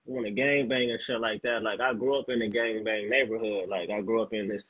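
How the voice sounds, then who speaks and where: A man from Los Angeles, a rapper being interviewed, talking in a laid-back, halting way with lots of fillers. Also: lowish voice, fairly even intonation, slightly rough, dry recording, phone-quality sound. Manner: laid-back, halting, fills with "you know what I'm saying". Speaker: guest rapper. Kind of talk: casual interview chat.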